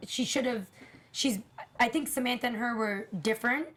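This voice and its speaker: A woman with a raspy voice co-hosting a TV after-show, giving candid, opinionated takes in casual panel chat. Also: on the high side, normal speed, fairly bright tone. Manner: candid, opinionated, talks at length.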